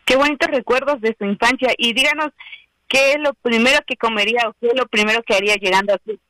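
A man hosting a radio interview in a high, bright voice. The sound is dry and close. He sums up what his guest has said and leans on frequent fillers. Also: fairly even intonation, quick pace, dry recording, low-quality recording. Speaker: radio host.

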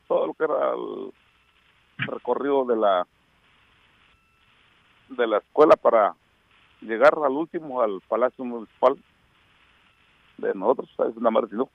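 A middle-aged man from Oaxaca whose first language is Mixtec, speaking Mexican-accented Spanish with a gravelly edge. He calls in over a thin, tinny phone line with a dry sound. He reminisces slowly and fills his pauses often. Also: medium pitch, no echo. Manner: reminiscing, hesitant with many fillers. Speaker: phone-in guest. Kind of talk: radio interview by phone.

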